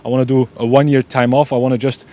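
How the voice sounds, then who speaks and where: A man's voice: mid-range voice, flat delivery, fast talker, mellow. A young man from Belgium, an eager race director chatting as an interview guest. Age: young adult. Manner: eager, repeats "yeah" often, loose non-native grammar. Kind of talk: casual interview chat.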